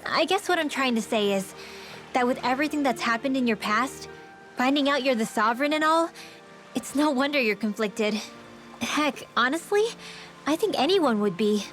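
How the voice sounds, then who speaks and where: A woman's voice: sits high, lively intonation, normal speed, soft background music. A woman voicing a caring, orphaned young mage girl in an English video game dub, speaking casually and candidly. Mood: wistful, candid. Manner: casual, candid, gently encouraging.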